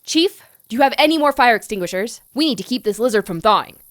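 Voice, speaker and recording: A woman's voice: fairly high pitch, animated, quick pace, no room sound, clean studio sound, close to an everyday voice. A woman playing an earnest, anxious scientist who gives urgent, commanding instructions in an audio drama. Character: earnest, urgent young scientist coaching a trapped friend.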